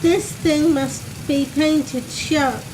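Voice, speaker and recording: A woman's voice: sits high, fairly even intonation, very slow, noisy background. A woman voicing a bold, impatient boy trapped in a haunted house in a Halloween radio play. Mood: impatient, determined.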